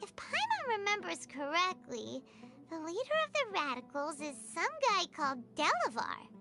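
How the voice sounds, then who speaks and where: A woman voicing a tiny, childlike floating girl companion in a video game, with a squeaky, eager voice. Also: huge pitch swings, moderate pace, music in the background, heavily acted. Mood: curious, puzzled. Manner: refers to self in third person, exclaims eagerly.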